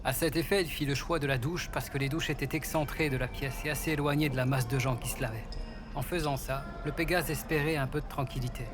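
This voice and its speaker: Guy narrating a fantasy audio story, medium pitch, loud music under him. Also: fairly even intonation, fast talker.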